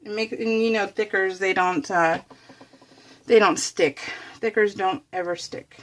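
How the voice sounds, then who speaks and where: A woman's voice: medium pitch, a little slow. A woman chatting casually and bubbly as a craft vlogger, rambling along with a giggly manner. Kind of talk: casual chat while showing scrapbook layouts. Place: indoors, room at home.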